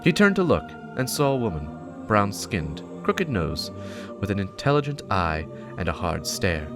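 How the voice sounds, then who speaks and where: Male narrator and host, dramatic story reading, expressive range, music underneath. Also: fairly low pitch, normal speed. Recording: music behind the voice.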